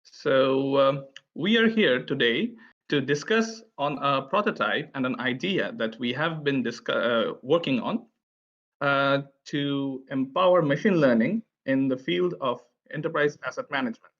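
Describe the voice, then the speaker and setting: A man's voice: medium pitch, a little slow, fairly bright tone. A man from India, presenting a tech session in a friendly, chatty way with frequent filler sounds. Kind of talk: conference presentation. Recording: dull, lo-fi online audio.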